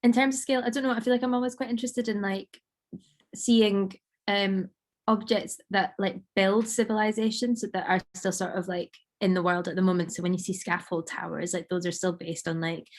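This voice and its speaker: A woman artist talking casually about her work, rambling with many fillers and a slightly croaky voice. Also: medium pitch, fairly even intonation, fairly quick. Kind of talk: casual conversation.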